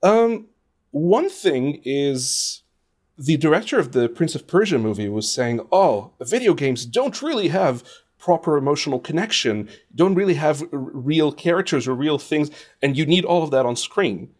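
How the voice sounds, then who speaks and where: A man's voice: on the high side, animated, normal speed, a little mellow. A man giving thoughtful, opinionated answers as an interview guest, speaking conversationally and with emphasis. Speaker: interview guest, video game composer and radio presenter.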